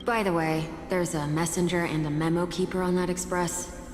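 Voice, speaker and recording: A woman's voice: on the low side, little pitch movement, normal speed, close to an everyday voice. Casting: actress for breezy female conspirator giving orders, video game; confident, reverberant, music underneath. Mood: assured. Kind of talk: dramatic video game acting. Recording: echoey hall reverb, music behind.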